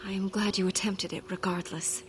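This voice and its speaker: Woman to play devoted elven beast-keeper: gentle, earnest, measured formal speech, mid-range voice, fantasy game dialogue, faint background noise. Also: mid-range voice, little pitch movement, natural voice. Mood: grateful.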